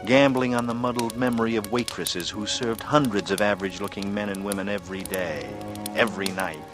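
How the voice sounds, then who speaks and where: An actor playing a brooding, calculating American writer in a 1940s radio noir drama, with measured, intense delivery. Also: male voice, lowish voice, steady tone, natural voice. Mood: tense, calculating. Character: brooding, calculating male writer with a smooth charm. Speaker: lead actor and narrator. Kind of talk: 1940s radio noir acting, first-person narration. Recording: vintage radio drama, music underneath. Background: dramatic music.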